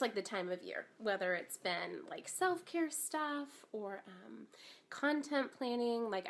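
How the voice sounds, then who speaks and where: A woman in her thirties hosting a casual live stream, slightly raspy from a cold, chatty and upbeat with lots of ums and likes. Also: medium pitch.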